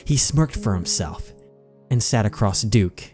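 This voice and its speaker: A man with a fairly low voice reads a story aloud as a dramatic narrator. His delivery is theatrical, and soft music plays behind him. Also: expressive, unhurried. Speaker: channel host reading fan fiction aloud. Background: background music.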